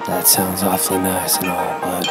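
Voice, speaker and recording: A woman voicing a grim, haunted western drifter with a laconic, deadpan delivery. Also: extremely low pitch, moderate pace, loud music behind the voice, pitched below the performer's own voice.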